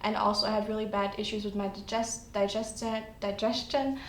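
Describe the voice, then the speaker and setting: A woman's voice: little pitch movement, brisk. Young Austrian woman chatting on a podcast. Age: 20s. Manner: talkative, agreeing often. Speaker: podcast guest. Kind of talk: casual chat. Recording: echoey room, faint rumble.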